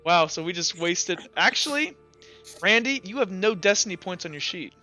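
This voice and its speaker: A man running a tabletop roleplaying game, explaining rules informally and haltingly as game master. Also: high voice, lively intonation, pushed and bright, soft background music.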